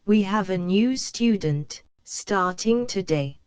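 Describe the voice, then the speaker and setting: A woman reading a children's picture book aloud, slow and careful for young learners. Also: mid-range voice, lively intonation, darkish tone, clear, resonant tone, dry recording. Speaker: storybook reader.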